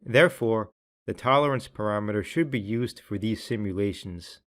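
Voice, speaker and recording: A man reading scripted tutorial narration in a measured, instructional way, with each phrase clearly enunciated. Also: lowish voice, fairly bright tone.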